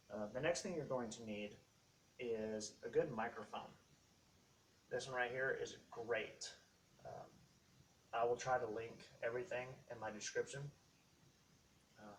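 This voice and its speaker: A man's voice: mid-range voice, fairly bright tone. Man explaining gear, recorded from a distance. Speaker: host of a how-to tech video series. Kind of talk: casual unscripted product walkthrough. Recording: distant mic, faint background noise.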